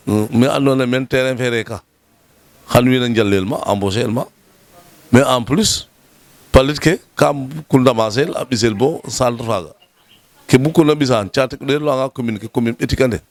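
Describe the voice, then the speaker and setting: A man speaking at a municipal meeting, presenting a project in a measured way, often repeating words for emphasis and pausing with "euh". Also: medium pitch, no room sound. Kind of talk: formal speech mixing French terms.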